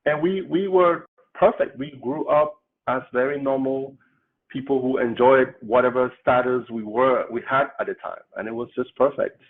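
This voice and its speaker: A man's voice: medium pitch, moderate pace. Man from Cameroon on a tinny line. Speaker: political candidate. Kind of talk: interview storytelling.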